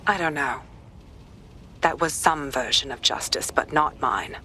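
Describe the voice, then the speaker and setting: Low-voiced, grave woman investigator. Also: lively intonation, measured pace, background noise. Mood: sombre. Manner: grave, determined. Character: principled, weary female police investigator. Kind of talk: video game cutscene acting.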